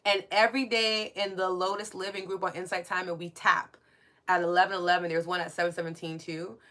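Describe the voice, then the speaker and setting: A woman's voice: lowish voice, little pitch movement, fairly quick. Woman with a strong New York accent. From New York City. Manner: warm, chatty, reads out listener comments and thanks them. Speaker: podcast host and coach. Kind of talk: live-stream spiritual podcast chat.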